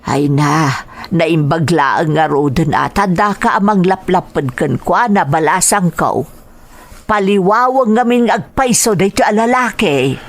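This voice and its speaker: A woman playing a caring middle-aged mother in a radio drama, slow and plain-spoken, with an Ilocano accent. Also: lowish voice. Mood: welcoming.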